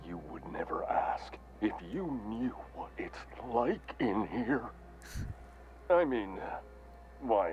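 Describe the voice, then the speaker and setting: A man's voice: on the low side, muffled recording, slight background noise. An actor playing a corporate vendor in a mascot helmet in a video game, with forced salesman patter over weary resignation. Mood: weary, resigned, then upbeat sales pitch. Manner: forced salesman cheer with slogans, slipping into resignation. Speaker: shop vendor.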